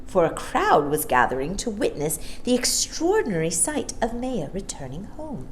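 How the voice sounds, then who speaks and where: Female storyteller for children's book: animated, lowish voice, expressive reading, slight background noise. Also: moderate pace, poor audio.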